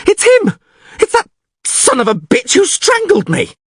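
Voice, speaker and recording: A male reader plays a vain fantasy bard, pitched high and swooping widely. He is theatrical and excitable, full of exclamation, as in a storybook told aloud. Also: normal speed, breathy edge, no echo, clean studio sound, exaggerated voice. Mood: alarmed.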